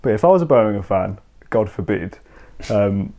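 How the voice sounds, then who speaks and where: Brief: young guy, low mellow voice, quick conversational football talk over video call. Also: lively intonation. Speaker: football podcast co-host. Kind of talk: casual post-match football chat. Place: home room, indoors. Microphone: video call with earphone mic.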